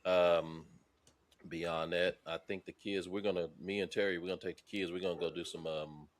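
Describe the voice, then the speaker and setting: A man's voice: low voice, fairly even intonation, moderate pace. A man about to turn fifty, co-hosting a podcast in casual, joking, profane chat. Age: 40s. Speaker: podcast co-host.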